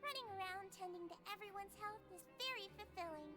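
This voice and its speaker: Very high, sweet little creature nurse. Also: female voice, brisk, over loud music. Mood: caring. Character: small gentle Melusine nurse, young female creature, sweet and caring. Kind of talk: video game voice acting.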